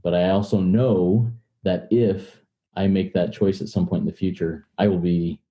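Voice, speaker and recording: A man hosting an interview, speaking reflectively in hesitant, measured phrases over muffled audio. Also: sits low, fairly even intonation. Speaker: podcast host, interviewer.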